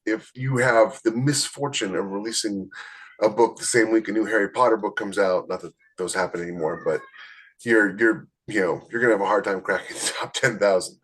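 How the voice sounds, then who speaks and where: A man's voice: on the low side, fairly bright tone, breathy edge. A man hosting a podcast, explaining things from experience in a casual, conversational way and talking straight to the listeners. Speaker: podcast host, self-published author.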